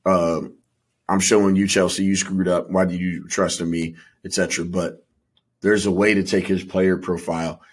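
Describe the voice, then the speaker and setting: Low, husky man talking sports casually. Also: level tone, normal speed. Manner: opinionated, emphatic, slangy. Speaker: sports podcast co-host. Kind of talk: casual football chat.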